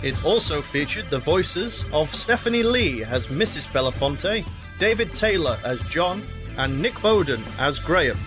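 A man's voice: a little high, big pitch swings, a little slow. A man giving scripted end-credit narration as an announcer. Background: guitar music.

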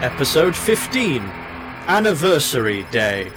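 A man announces titles in a scripted read. His voice is a little high and very animated, set over music. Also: measured pace. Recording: music behind the voice.

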